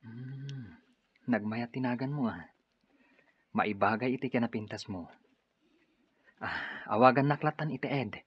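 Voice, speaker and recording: A man with an Ilocano accent playing a smooth-talking, persuasive stranger in a radio drama. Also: medium pitch, a little slow, pauses often. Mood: courteous, flattering. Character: Edgar, smooth-talking male stranger, persuasive recruiter for a group. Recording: dull lo-fi radio drama recording.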